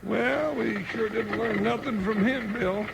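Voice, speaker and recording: Casting: loyal, talkative comic cowboy deputy, squeaky-high and crackly voiced, rustic drawl, old radio western. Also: male voice, a little slow, exaggerated voice. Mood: puzzled. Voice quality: high-pitched, gravelly. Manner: folksy drawl with rustic grammar, blustering. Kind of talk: 1950s radio western acting. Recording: noisy 1950s radio recording.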